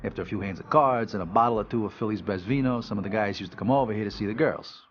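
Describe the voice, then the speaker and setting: A man's voice: fairly low pitch, fast talker, highs cut off, a little hiss or hum, close to an everyday voice. An actor playing a world-weary, wisecracking American mob enforcer, telling his story in a casual, tough, profane hardboiled narration. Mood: wry, matter-of-fact.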